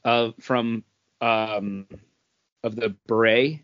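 A man co-hosting a podcast in casual chat, with a rambling, halting delivery. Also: mid-range voice, fairly even intonation, clear, resonant tone. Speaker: podcast co-host. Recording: dull, dry lo-fi audio.

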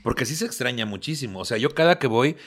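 A man's voice: medium pitch, expressive, fast talker, fairly bright tone. Joking Mexican man, casual chat. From Tampico, Mexico. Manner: joking, teasing.

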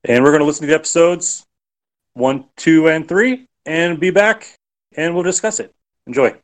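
A man's voice: a little high, moderate pace. A man giving a casual, informal introduction as a podcast host. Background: music.

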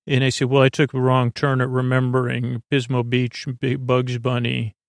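A man hosting a sleep podcast in a meandering, halting monologue that keeps drifting into digressions and false starts. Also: medium pitch, monotone, vocal fry, no room sound, studio quality. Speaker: podcast host.

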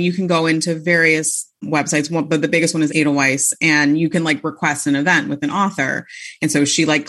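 A woman's voice: deep voice, fairly bright tone. A woman chatting casually as an interview guest, informal and giggly in manner. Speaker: guest, bookseller and author.

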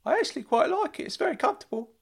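A squeaky, fussy older uncle. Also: male voice, very animated, racing, gravelly, heavily acted. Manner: high-pitched, eager and fussy. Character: excitable older uncle, retired, wearing a mask.

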